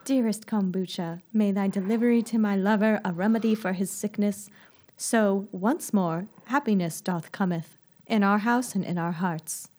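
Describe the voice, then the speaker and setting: A woman's voice: mid-range voice, moderate pace, a little mellow, close to an everyday voice. A woman playing a devoted, melodramatic noble lady with mock-archaic wording in an amateur comic period play. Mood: hopeful.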